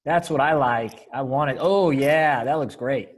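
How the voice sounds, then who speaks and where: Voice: mid-range voice, measured pace. Upbeat, encouraging organizer on a video call. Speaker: event organizer running a readers theater rehearsal. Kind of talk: casual group video-call chat.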